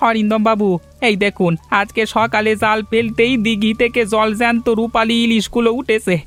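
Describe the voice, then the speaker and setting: A man's voice: sits high, level tone, moderate pace, fairly bright tone, soft background music. A man voicing a poor, humble village fisherman who speaks earnestly and deferentially in a cartoon. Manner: pleading, deferential. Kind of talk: cartoon voice acting.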